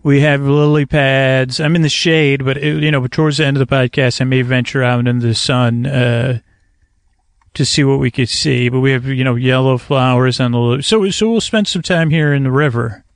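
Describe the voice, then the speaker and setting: A man hosting a sleep podcast with a creaky voice, soothing and meandering, often halting to correct himself and drifting into tangents. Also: mid-range voice, steady tone, no echo, studio quality. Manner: soothing, lulling delivery. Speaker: podcast host. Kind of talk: rambling sleep-podcast monologue. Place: outdoors, in a kayak on a river.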